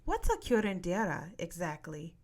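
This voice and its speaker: A woman's voice: lowish voice, wildly swooping pitch, moderate pace, darkish tone. A woman voicing a curious, eager young girl in a story reading.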